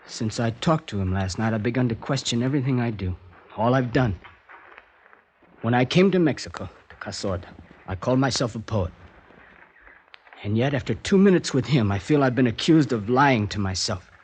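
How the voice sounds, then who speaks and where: An introspective American poet with an American accent, played by a man in a vintage radio drama. His mid-pitched voice is earnest and emotional. A faint hiss runs beneath it. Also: expressive, normal speed, a little mellow, lightly acted. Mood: tender. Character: American poet abroad, troubled and devoted husband. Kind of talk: 1950s radio drama acting and narration.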